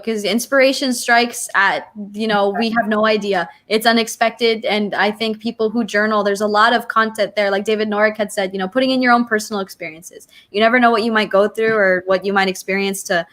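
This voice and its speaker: A woman's voice: fairly high pitch, fairly quick, few pauses, fairly bright tone. A woman hosting a casual livestream author panel on a video call, speaking in an effusive, gushing way. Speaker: host of an online author panel.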